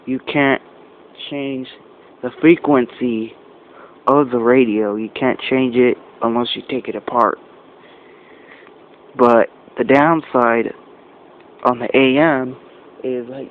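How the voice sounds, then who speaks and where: Slow, halting man on lo-fi audio. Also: mid-range voice. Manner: halting, repeats and corrects himself, short phrases. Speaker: amateur radio reviewer. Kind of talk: casual product review talk. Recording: dull lo-fi camera audio with noise.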